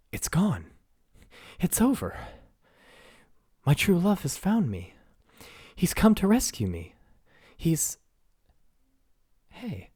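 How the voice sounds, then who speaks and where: A man voicing a young giant princess who is proud and quick-tempered, his voice pushed up high as she stammers in indignation. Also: darkish tone, breathy edge, no room sound, studio quality, exaggerated voice. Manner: pitched far up, stammering, indignant.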